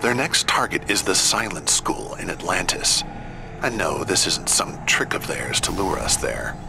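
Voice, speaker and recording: Low, gravelly terse hero. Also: male voice, sing-song, unhurried, background noise, heavily acted. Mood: terse, wary. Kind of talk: video game dialogue.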